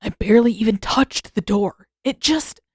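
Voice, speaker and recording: A woman's voice: medium pitch, moderate pace, husky, no room sound, clean studio sound, natural voice. Woman reading as a prickly, defensive teenage boy. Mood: affronted. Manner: harsh, grumbling.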